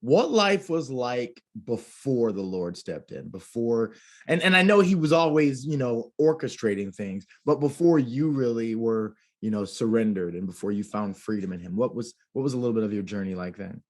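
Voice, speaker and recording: A man hosting a podcast interview, enthusiastic and quick to affirm his guest. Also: medium pitch, lively intonation. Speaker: podcast host. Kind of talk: casual interview chat.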